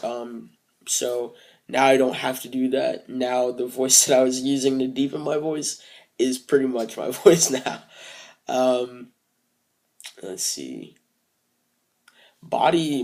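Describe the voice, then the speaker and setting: A young man recording a casual video diary, speaking hesitantly with many fillers and restarts, soft and reflective. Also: medium pitch, gravelly. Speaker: vlogger.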